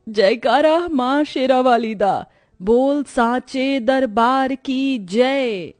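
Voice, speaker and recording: A woman's voice: sits high, very slow, darkish tone, soft background music. A woman playing a devout, long-suffering poor daughter-in-law in a cartoon moral story, earnest and reverent.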